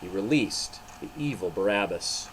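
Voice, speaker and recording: A man's voice: fairly low pitch, expressive, normal speed, slight background noise, poor audio. A man, a pastor, reading a story and talking to children in a dramatic, emphatic way. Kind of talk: animated storybook narration and children's devotional.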